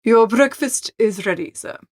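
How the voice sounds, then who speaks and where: An unflappable English manservant, played by a woman reader, speaks softly and formally. He keeps a measured, discreet, deferential tone in a dry storybook recording. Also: a little high, no room sound. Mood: politely composed. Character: unflappable, deferential English valet, a male servant. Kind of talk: audiobook character acting.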